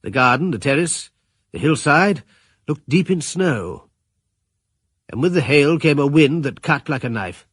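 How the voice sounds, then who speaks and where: An actor playing a grave, commanding middle-aged British gentleman adventurer, narrating a radio drama in measured, literary fashion. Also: a little high, lively intonation, slightly rough, no echo, clean studio sound, heavily acted. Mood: grave, tense.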